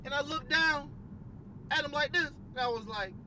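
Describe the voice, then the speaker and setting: A woman's voice: sits high, moderate pace, pushed and bright, slight background noise, rough recording. A woman voicing a cocky, trash-talking self-proclaimed wrestling champ who brags and taunts. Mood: bragging. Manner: boastful, swaggering trash talk.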